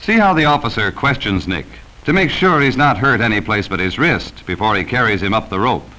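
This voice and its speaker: A man's voice: on the low side, expressive, a little fast, bright, forceful tone, rough recording. An American man narrating a 1940s educational safety film from a script, speaking sternly and cautioning children directly, on a vintage film soundtrack.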